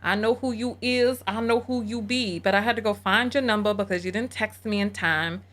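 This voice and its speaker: A woman hosting a live call-in show, lively and chatty, teasing her callers. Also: mid-range voice, normal speed.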